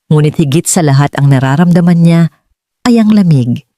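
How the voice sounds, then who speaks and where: A woman's voice: low-pitched, animated, normal speed, dark tone, no room sound, clean studio sound. A woman reading a scripted dramatic story as an audiobook narrator.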